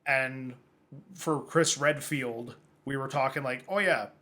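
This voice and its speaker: A man's voice: mid-range voice, steady tone, slow, bright, forceful tone. A man co-hosting a casual film podcast, rambling a bit and filling his talk with "like" as he chats about casting. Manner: rambling, many filler likes. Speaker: podcast co-host. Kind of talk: casual chat about films and games.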